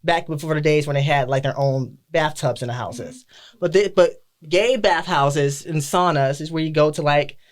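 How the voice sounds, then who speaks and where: A man on a podcast panel, telling candid stories in a relaxed, chatty way with frequent laughter. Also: a little high, normal speed. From Detroit area. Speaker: podcast guest. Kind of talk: casual chat.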